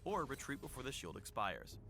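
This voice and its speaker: A man reading a scripted instructional guide for a video game in a measured, polished explainer style. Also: mid-range voice, big pitch swings, bright, forceful tone, slight background noise. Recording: music behind the voice. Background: music.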